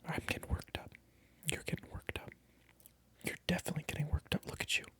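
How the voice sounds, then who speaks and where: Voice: quick pace, pushed and bright, dry recording. A person performing a whispered ASMR monologue close to the microphone, addressing the viewer in a teasing, mock-indignant, repetitive way. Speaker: ASMR video creator.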